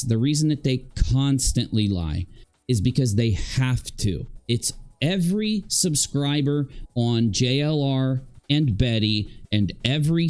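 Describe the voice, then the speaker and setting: A man's voice: mid-range voice, darkish tone. Emphatic man on a rambling livestream rant. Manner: emphatic, repetitive, accusatory. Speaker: livestream host and video creator.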